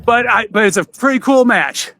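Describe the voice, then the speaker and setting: A man's voice: very high-pitched, lively intonation, normal speed, pushed and bright, no room sound, studio quality. A man hosting a podcast, talking casually in a rambling, enthusiastic fan's way. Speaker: podcast host. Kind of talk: casual chat about wrestling. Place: outdoors in front of a theater.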